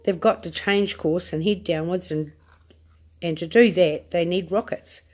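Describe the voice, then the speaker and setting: Mister Wonka, read by a woman, bold and excitable. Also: on the low side, unhurried. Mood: confident. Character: eccentric excitable male inventor, confident. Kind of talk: read-aloud storybook voice.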